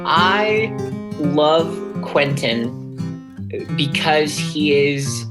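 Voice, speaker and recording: A man's voice: fairly high pitch, animated, very slow. A young man, an actor, speaking casually and reflectively as an interview guest. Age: young adult. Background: music, plucked guitar.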